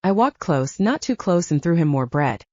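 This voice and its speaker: A woman narrating a first-person audiobook in a lively storytelling manner, lightly voicing the dialogue. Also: lowish voice, moderate pace, no room sound, highs cut off.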